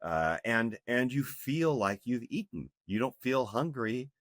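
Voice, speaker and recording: A man's voice: mid-range voice, very animated, measured pace, no room sound, studio quality. A man in his fifties, a health coach speaking earnestly and emphatically as a podcast guest, with frequent restarts. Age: 50s. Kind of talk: podcast interview.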